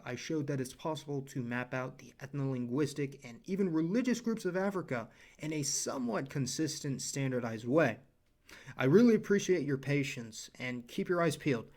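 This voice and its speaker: A man's voice: mid-range voice, a little mellow. Young guy doing a scripted explainer voice-over, earnest, throws in his own opinions. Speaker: channel creator and narrator.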